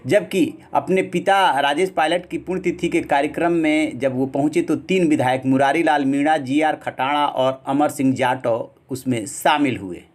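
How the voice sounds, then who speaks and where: A man's voice: fairly high pitch, moderate pace, few pauses. A man narrating a scripted news report.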